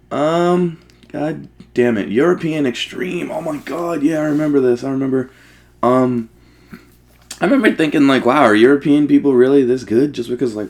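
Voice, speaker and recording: A man giving casual, rambling, profanity-laced gaming commentary with excitable fan talk. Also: medium pitch, creaky. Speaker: let's play host.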